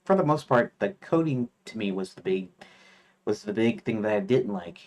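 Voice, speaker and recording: A man chatting casually while streaming his game development, rambling with lots of fillers and restarts, sometimes reading lists aloud in a flat mutter. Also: lowish voice, normal speed.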